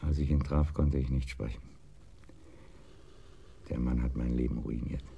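Very deep, gravelly, broken husband. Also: male voice, fairly even intonation, measured pace, mellow, lo-fi sound, exaggerated voice. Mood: bitter, broken. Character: broken, bitter cuckolded husband, timid and brooding. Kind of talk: German radio crime drama acting.

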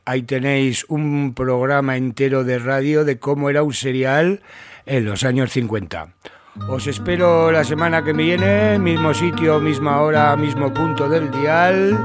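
A man with an accent from Spain presenting a music radio show in a casual way. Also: on the high side. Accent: Spanish from Spain.

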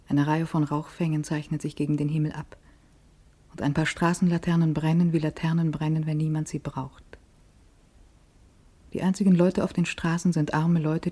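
An actress playing a poised, vain young society woman in a radio play, speaking in an intimate, deliberate, breathy monologue with sensual expectancy. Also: deep voice, dark tone. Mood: wondering.

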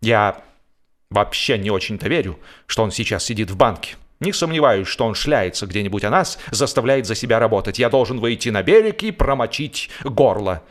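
A man's voice: lowish voice, lively intonation, moderate pace, natural voice. A man voicing an indignant holiday boater in a humorous audiobook, ranting in exasperated rhetorical questions. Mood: aggrieved grumbling. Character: indignant, grumbling, blustering male holiday boater.

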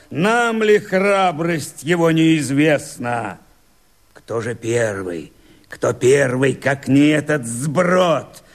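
A weary Cossack mutineer speaks in theatrical radio-play verse. His voice sits fairly high for a man. He declaims slowly and emphatically, defiant toward his leader. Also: exaggerated voice. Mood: scornful.

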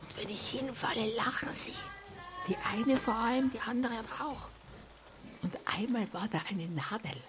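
A woman's voice: a little high, normal speed, over loud music, natural voice. An actress playing a confused, fretful elderly mother in a radio drama, complaining in a wandering, childlike way. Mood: plaintive, hurt. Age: older.

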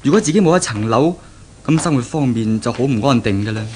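An actor playing a pragmatic young graduate and fiancé in a 1970s Cantonese radio drama, explaining things earnestly and persuasively. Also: male voice, medium pitch, expressive, quick pace, darkish tone, a little hiss or hum, close to an everyday voice. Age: young adult.

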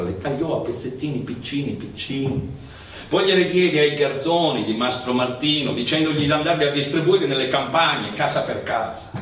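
A man narrating a theatrical monologue in emphatic, measured phrases. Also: medium pitch, fairly bright tone. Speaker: stage actor and storyteller. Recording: very echoey, distant, faint noise.

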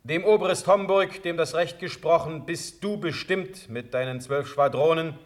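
An actor playing a commanding, probing Prussian prince in a classical German radio drama, speaking formally and imperiously. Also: male voice, a little high, a little slow, natural voice. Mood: stately, probing.

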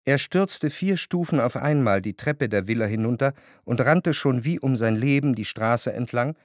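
A man narrating a literary radio drama as a reflective gentleman recalling his past, in a measured, articulate way. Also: mid-range voice, expressive, dry recording, studio quality, natural voice. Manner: measured, articulate reading of long sentences.

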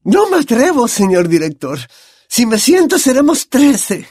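A dreamy, high-voiced prophetess, put on by a male narrator, sounding distant and theatrical. Her pitch swoops all over the place, and the recording is close and dry. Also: very animated, a little slow, a little mellow, breathy edge, no room sound, full character voice. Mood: fearful. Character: dreamy eccentric female seer, older.

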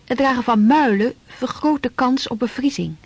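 A woman narrating a radio drama from a script in a husky voice. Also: on the high side, normal speed, darkish tone, dry recording.

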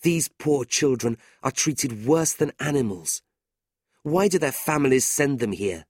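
A narrator voicing an earnest, troubled young gentleman teacher in a storybook, polite and sincere. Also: medium pitch, lively intonation, unhurried, breathy edge, dry recording, studio quality, pitched above the performer's own voice. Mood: indignant.

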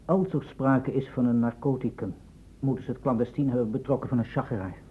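An actor playing an earnest, concerned male investigator in a radio drama, with a husky voice and careful, formal speech. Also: mid-range voice, steady tone, a little fast, mellow, a little hiss or hum, close to an everyday voice. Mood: earnest, thoughtful. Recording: muffled phone-like old radio recording.

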